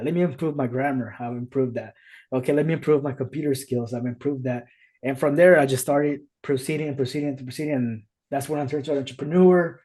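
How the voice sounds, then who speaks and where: A man's voice: mid-range voice, darkish tone. A man whose first language is Spanish, hosting a podcast over a video call and telling his own story earnestly, with many restarts. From United States. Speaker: podcast host. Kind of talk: casual conversation.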